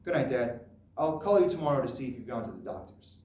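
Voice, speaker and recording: A man acting as a patient, dutiful grown son in a stage drama, placating and gently insistent with an ageing father. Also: mid-range voice, animated, quick pace, lightly acted. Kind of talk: solo dramatic interpretation on stage. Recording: echoey room, distant microphone.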